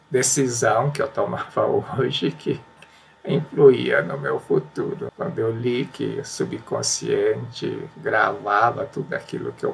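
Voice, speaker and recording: A Brazilian man earnestly presenting religious books, then reading passages aloud in a slow, reflective way. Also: lowish voice, creaky, fairly bright tone, slight background noise. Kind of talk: casual spoken book commentary and reading aloud.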